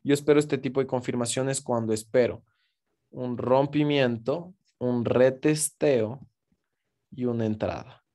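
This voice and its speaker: A man's voice: mid-range voice, fairly even intonation, a little slow, mellow. A man teaching forex trading over a screen recording, in a casual explanatory way with frequent check-ins to viewers. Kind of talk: screen-share trading lesson.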